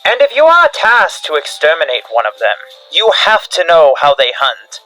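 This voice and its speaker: A man's voice: on the high side, wildly swooping pitch, normal speed, pushed and bright, poor audio. A man delivering scripted analog horror narration like a field briefing, in a grave, dramatic instructional manner. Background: background music.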